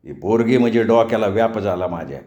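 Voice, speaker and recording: A man's voice: lowish voice, animated, quick pace, mellow, reverberant, lightly acted. A man voicing a stern but worried village father with a grumbling, commanding manner in a story. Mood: irritated.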